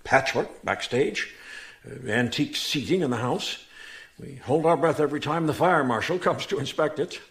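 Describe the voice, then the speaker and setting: An actor playing a worried, candid manager of a struggling theater in a radio mystery, talking in a chatty, slightly hesitant way. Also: male voice, on the high side, expressive, moderate pace, a bit bright. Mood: rueful, chatty. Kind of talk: radio mystery drama acting.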